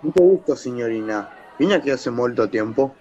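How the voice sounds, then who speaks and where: A man's voice: medium pitch, animated, normal speed, dark tone, some chatter behind, lightly acted. Radio play role: coarse foreign flirt, Italian accent, male actor, dull sound, people murmuring in the background. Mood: flirtatious. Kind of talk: amateur radio play acting.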